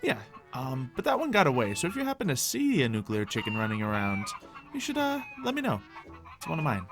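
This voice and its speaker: A man's voice: mid-range voice, wildly swooping pitch, brisk, music in the background. A man playing a polite, smug android inventor in an improvised game roleplay, musing in formal, precise wording. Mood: proud, casual.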